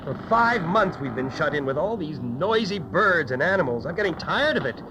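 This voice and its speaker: An actor playing an impatient, excitable grown son who complains, in a children's audio Bible drama. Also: male voice, on the high side, moderate pace, background noise. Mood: weary, fretful. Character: Ham, Noah's restless, impatient grown son.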